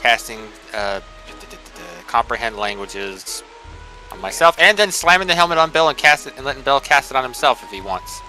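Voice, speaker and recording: A man's voice: mid-range voice, animated, fairly quick, pushed and bright, low-quality recording. A man playing a tabletop game, speaking in casual in-character banter. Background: background music.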